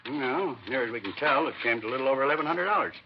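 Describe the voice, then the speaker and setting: An actor playing a fussy, self-satisfied nightclub owner, chatty and offhand, in a 1950s radio crime drama. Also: male voice, medium pitch, expressive, racing, close to an everyday voice. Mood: offhand, distracted. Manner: chatty, offhand, breaking off into kitchen asides. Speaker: club owner being questioned. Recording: muffled, noisy vintage radio recording.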